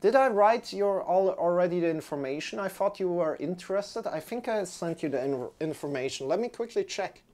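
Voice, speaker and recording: Young German yoga teacher, casual livestream chat, clip-on mic, loose and rambling. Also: male voice, high voice, expressive, moderate pace, few pauses, a little mellow. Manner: rambling, friendly, restarts often. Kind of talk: casual chat with live viewers. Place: indoor room, sitting on a yoga mat.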